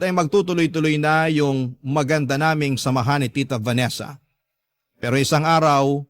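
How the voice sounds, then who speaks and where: A man's voice: fairly high pitch, no echo. A man with a Filipino accent, a radio narrator reading a listener's letter in the first person as a dramatic story.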